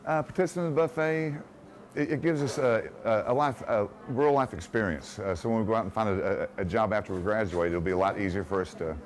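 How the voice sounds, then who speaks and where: A young male student giving a halting interview answer, repeating words as he goes. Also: fairly low pitch. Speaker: culinary student. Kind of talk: interview soundbite.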